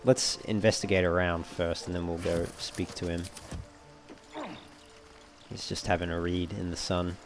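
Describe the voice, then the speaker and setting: A man with a low voice and a creaky, fry-edged delivery commentates on a game. He talks casually, as a let's play host does, over faint background music. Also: level tone, a little slow, pauses often. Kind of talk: casual gameplay commentary, reading item text aloud.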